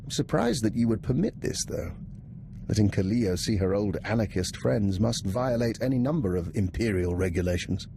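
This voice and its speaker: A man's voice: lowish voice, lively intonation, creaky, background noise. Polite, precise male analyst. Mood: politely pointed. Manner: formal, measured, precise. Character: polite, inquisitive, analytical male intelligence analyst, subtly suspicious. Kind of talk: video game dialogue acting.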